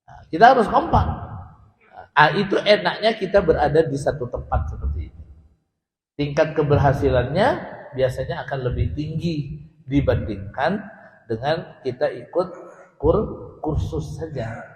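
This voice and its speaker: A man's voice: on the high side, a little slow, pauses often. An Indonesian man giving an informal language lesson to a group, explaining and repeating his points and often checking with his listeners. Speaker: Arabic language teacher. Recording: echoey hall with long reverb.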